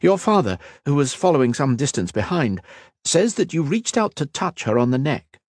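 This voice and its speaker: A man voicing a gentle, calm elf woman in a fantasy audiobook, speaking softly in formal, deliberate phrases. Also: on the high side, no room sound, clean studio sound, pitched above the performer's own voice. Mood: calm explaining. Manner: soft-spoken, deliberate, formal.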